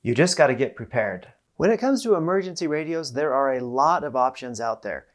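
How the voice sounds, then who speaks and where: A man hosting a casual product review, talking to viewers in a plain-spoken, explanatory way. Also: on the high side. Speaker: host of a preparedness product review channel.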